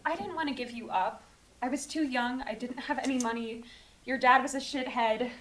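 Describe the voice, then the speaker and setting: A woman's voice: sits high, normal speed, a bit bright, slight background noise. A woman playing a drunk, defiant, guilt-ridden young mother in a screenplay table read. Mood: bitter, weary.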